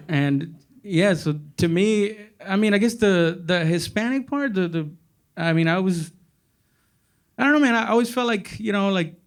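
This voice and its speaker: A man with a Mexican accent, a comedian on a stage panel, talking casually in a rambling, halting, self-mocking way. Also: sits high. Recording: stage panel with audience laughter.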